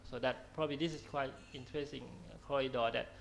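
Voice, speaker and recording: A man's voice: mid-range voice, fairly even intonation, quick pace, gravelly, a little hiss or hum, rough recording. A man on a discussion panel, answering questions in a hesitant way with many restarts. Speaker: panel speaker.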